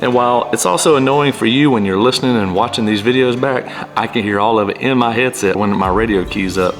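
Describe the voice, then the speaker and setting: A man's voice: medium pitch, fast talker, faint music behind. A man hosting a casual how-to vlog, talking in a plain-spoken, informal and self-effacing way. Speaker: amateur pilot and video host.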